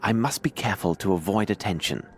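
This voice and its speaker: A man playing a composed gentlemanly detective in a video game, formal, measured and courteous. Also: lowish voice, animated, a little hiss or hum, close to an everyday voice. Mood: pensive. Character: composed gentlemanly detective disguised as a male nurse.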